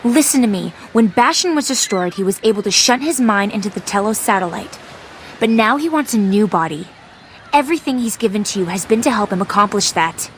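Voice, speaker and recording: A woman's voice: mid-range voice, animated, a little fast, a little hiss or hum, close to an everyday voice. An actress playing a determined young female mutant heroine, speaking in a defiant, urgent way in a video game cutscene.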